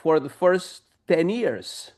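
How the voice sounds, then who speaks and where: A middle-aged pastor with a Romanian accent, asking a long, halting question at a discussion with many pauses and fillers. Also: male voice, on the high side, animated, a little mellow.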